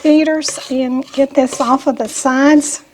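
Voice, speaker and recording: A woman with a Southern US accent and a slightly raspy, bronchial voice, chatting casually as she talks through a home cooking demonstration. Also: high-pitched, steady tone, takes it slowly, a little mellow, slight background noise. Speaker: host of a home cooking channel.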